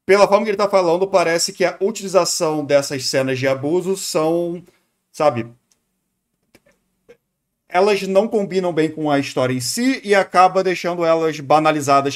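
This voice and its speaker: Higher-pitched Brazilian man, casual streamer. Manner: conversational, opinionated, thinking aloud. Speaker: streamer reacting to a video. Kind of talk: casual live reaction commentary.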